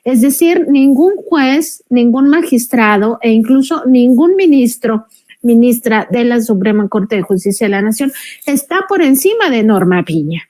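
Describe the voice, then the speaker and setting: Higher-voiced Mexican woman giving political commentary. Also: animated, unhurried, a little mellow. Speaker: journalist, panel commentator. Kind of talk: political commentary in a panel discussion.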